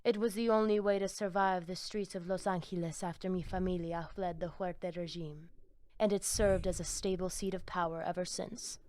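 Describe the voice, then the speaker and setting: An actress playing a solemn, mystical vampire gang matriarch in a video game, speaking gravely and philosophically. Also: female voice, fairly low pitch, steady tone, moderate pace, a little mellow, lightly acted.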